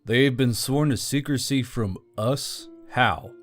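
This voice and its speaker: A man's voice: mid-range voice, slow pace, faint music behind. A man playing a wry male military commander who questions his staff dryly in an audio story. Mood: questioning.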